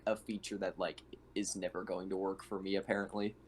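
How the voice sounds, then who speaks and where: A man's voice: sits low, level tone, brisk, husky. A man playing a tabletop game, talking casually as one of the players. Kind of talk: casual game-session chat.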